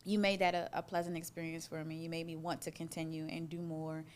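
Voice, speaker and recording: A woman with a slightly low voice, hosting a live interview. She talks in an animated, conversational way and shows appreciation as she goes. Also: fast talker. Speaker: interviewer and host.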